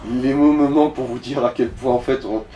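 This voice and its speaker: A man's voice: medium pitch, fairly even intonation, fairly quick, warm tone, dull audio, a little hiss or hum. A man giving casual, colloquial and sometimes sweary co-commentary on someone else's video game play. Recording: distant mic, game music behind.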